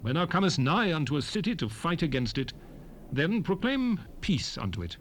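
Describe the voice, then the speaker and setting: An actor playing a stern, commanding lawgiver in a dramatized scripture reading, declamatory and emphatic with measured phrasing. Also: male voice, fairly high pitch, very animated. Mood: commanding, exhorting. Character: stern, commanding male lawgiver addressing his people. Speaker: scripture narrator in a dramatized Bible recording. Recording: 1970s cassette rip, faint background noise.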